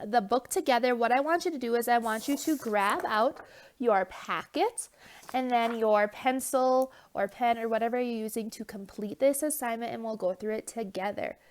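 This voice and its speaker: A woman's voice: a little high, moderate pace. Woman, young adult, teacher, stuffy nose, loose chatty delivery, okay check-ins, phone mic. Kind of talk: casual remote lesson.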